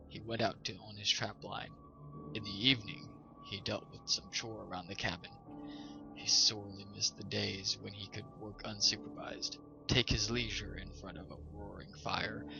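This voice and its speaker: Gravelly male storyteller over music. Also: fairly low pitch. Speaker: host and story reader. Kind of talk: scripted storybook narration. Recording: slightly dull sound, music behind.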